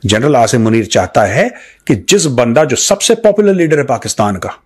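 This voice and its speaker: Man, Indian accent, lively swooping intonation, husky tone, emphatic political commentary, repeated phrases, rhetorical questions. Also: mid-range voice, moderate pace. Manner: mixes English phrases into talk. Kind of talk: unscripted news commentary to viewers.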